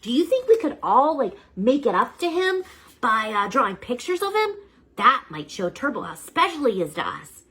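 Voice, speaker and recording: A shy, apologetic storybook schoolboy, voiced by a woman stumbles over his words. He sounds high-pitched and a touch husky. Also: big pitch swings, normal speed, pushed and bright, exaggerated voice, rough recording. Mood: remorseful. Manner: hesitant, with fillers. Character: shy, sorry young schoolboy.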